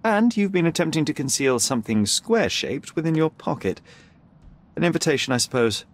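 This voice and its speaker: A self-assured young male detective from a video game. His voice sits a little high and moves nimbly in pitch, with precise, analytical, faintly haughty phrasing. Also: very animated, brisk. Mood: deductive, smug. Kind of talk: video game cutscene acting.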